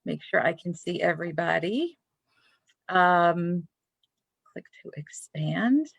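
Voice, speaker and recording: A woman's voice: fairly low pitch, animated, slow pace, gravelly, slightly rough, no room sound. A woman from Brooklyn with a Brooklyn accent, hosting a live library author chat in an effusive, chatty way. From Brooklyn, New York. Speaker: librarian host.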